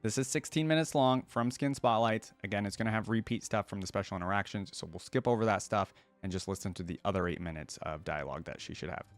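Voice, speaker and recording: Dude chatting through a reaction vid, informal and musing, clean voice, no room sound. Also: male voice, on the low side, quick pace, hardly any pauses, no room sound, faint music behind. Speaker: reaction video host. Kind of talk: casual reaction commentary.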